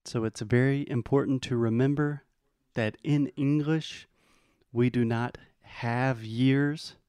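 An American man teaching English in a bilingual lesson, explaining patiently and modeling phrases slowly. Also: medium pitch, steady tone, a little mellow, clear, resonant tone, dry recording. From United States.

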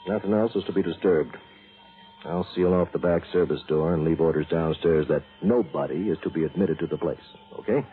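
An actor in an old radio crime drama playing a seasoned homicide sergeant, wry, bossy and colloquial. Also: male voice, deep voice, brisk, lightly acted. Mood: bossy, insistent. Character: seasoned, gruffly teasing male homicide police sergeant. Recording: tinny narrow-band old radio recording.

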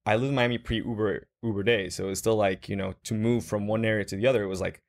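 A man's voice: on the low side, fairly even intonation, fast, gravelly. A young man from Guatemala talking casually in a group conversation, reflective, with many fillers and restarts.

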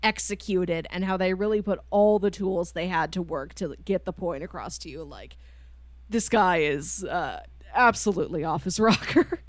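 A woman's voice: fairly low pitch, normal speed, no room sound. Chatty woman, dry close sound. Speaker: podcast co-host. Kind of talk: casual book discussion chat.

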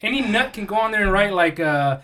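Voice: mid-range voice, expressive, a little fast, pushed and bright, a little hiss or hum. A podcast host bantering casually in an irreverent, joking, profane way.

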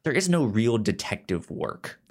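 A podcast co-host with a mid-pitched voice of ambiguous gender talks in a casual, conversational way. The delivery is halting, with frequent stammers and repeated syllables while working through a point. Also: sing-song, a little mellow. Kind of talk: casual comic and film discussion.

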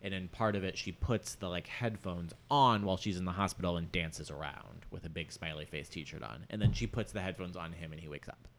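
A man hosting a podcast, chatting casually about pop culture and telling things in an animated, rambling way. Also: low voice, fairly quick, few pauses. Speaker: podcast host.

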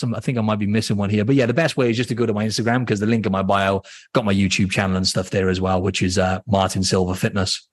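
A man from Wales with a mixed Welsh and Australian accent, a guest chatting casually and rambling a little with ums and restarts. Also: lowish voice. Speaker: guest, fitness coach. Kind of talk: casual interview chat.

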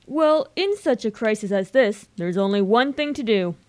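A woman takes the part of a breezy young gentleman of leisure in a radio comedy. The voice is fairly high, and the talk is generous and full of period upper-class slang. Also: expressive, moderate pace, close to an everyday voice. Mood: confident.

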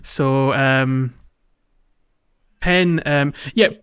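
A man co-hosting a podcast, chatting casually about video games in a halting, rambling way. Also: medium pitch, fairly bright tone, smooth, highs cut off. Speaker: podcast co-host. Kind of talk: casual video game playalong chat.

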